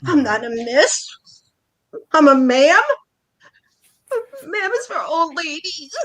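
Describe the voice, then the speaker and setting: A woman acts out a touchy, needy wife in a short stage play. Her voice is very high and swings widely as she nags and dramatizes her grievances. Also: expressive, slow pace, fairly bright tone, slight background noise, heavily acted. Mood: upset, tearful. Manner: nagging, dramatic, aggrieved. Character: needy, touchy wife fretting about aging.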